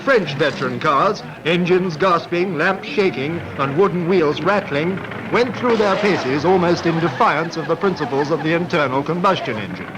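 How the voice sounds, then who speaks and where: A man's voice: fairly high pitch, normal speed, hardly any pauses, noisy background. A man reading scripted 1940s sports film commentary in a wry, lightly humorous manner. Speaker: newsreel-style sports narrator. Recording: vintage 1940s film soundtrack, music behind. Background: background music.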